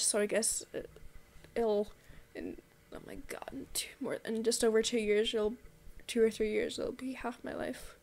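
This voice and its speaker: A woman's voice: a little high, a little mellow. A young woman from Texas talking casually to a webcam in her bedroom, rambling with many fillers and restarts. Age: young adult. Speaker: vlogger. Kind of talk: casual monologue to camera. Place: small bedroom indoors.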